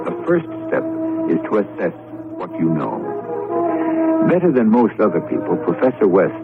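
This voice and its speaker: Deep, gravelly, theatrical male radio host. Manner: theatrical, portentous, deliberate phrasing. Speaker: radio drama host and narrator. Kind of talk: scripted suspense narration. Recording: muffled old radio broadcast. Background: music.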